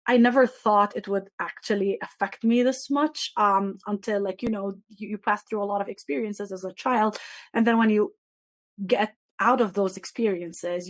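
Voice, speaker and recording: A woman's voice: mid-range voice, no echo. Earnest woman panelist, Arabic native. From Jerusalem. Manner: earnest, reflective, with many fillers and restarts. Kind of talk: panel talk.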